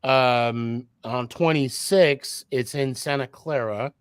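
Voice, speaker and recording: A man with a medium-pitched voice chats casually and jokingly on a sports panel. The sound is dry, with no room echo at all. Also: takes it slowly, smooth.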